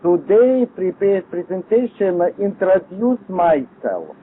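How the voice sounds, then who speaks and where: A man's voice: sits high, big pitch swings, slow, a little hiss or hum. A man in his fifties with a Lithuanian accent, introducing himself as a learner in hesitant, broken English, searching for words. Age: 50s. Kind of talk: halting self-introduction presentation. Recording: tinny, phone-like internet call audio.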